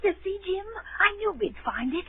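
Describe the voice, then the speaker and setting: A woman's voice: extremely high pitch, lively intonation, moderate pace, no room sound. A woman playing a stubborn, fretful poor widow and mother in a 1930s radio drama, querulous and insistent. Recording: tinny, noisy 1930s radio broadcast.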